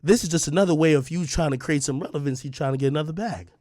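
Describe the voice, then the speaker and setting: A man's voice: a little high, quick pace, a little mellow, no room sound, studio quality. A young adult man delivering a confrontational rant straight at the listener, repeating his points with heat. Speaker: online media personality. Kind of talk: direct-to-camera rant.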